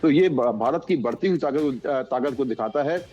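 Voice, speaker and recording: Halting male correspondent, dark mellow voice. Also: medium pitch, expressive, lo-fi sound, soft background music. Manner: halting, repetitive, searching for words. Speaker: news correspondent. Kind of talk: unscripted live news analysis.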